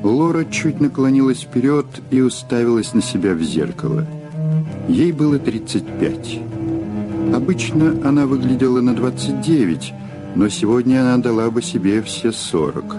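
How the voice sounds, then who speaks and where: A man's voice: mid-range voice, lively intonation, normal speed. A man narrating a Russian audio drama in a dramatic scripted storytelling style. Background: music behind the voice.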